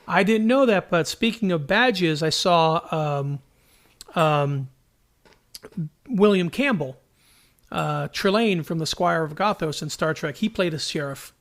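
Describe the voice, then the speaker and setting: High-voiced man chatting casually, jokey. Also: measured pace, a bit bright. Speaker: podcast co-host. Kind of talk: casual chat.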